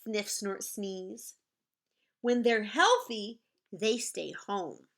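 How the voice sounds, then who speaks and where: A woman reading a picture book aloud in the manner of a teacher, expressive and explaining things simply to children. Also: medium pitch, extremely slow, vocal fry. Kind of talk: animated children's read-aloud with asides to the listeners.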